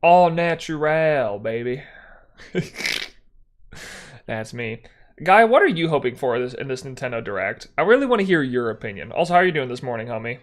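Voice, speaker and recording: A man's voice: mid-range voice, very animated, normal speed, pauses often. A young man from Texas with a mild Texan accent, chatting casually on a livestream and restarting his sentences often as he rambles. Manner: rambling, self-correcting, chatty with chat. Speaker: streamer.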